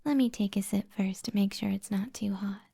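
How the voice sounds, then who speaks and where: Breathy whispering witch, played by a woman, soft and dark. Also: mid-range voice. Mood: tender, teasing. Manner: slow, soothing, gently teasing. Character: kind, flirty, teasing witch healer of the woods. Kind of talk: ASMR roleplay, intimate and soft-spoken.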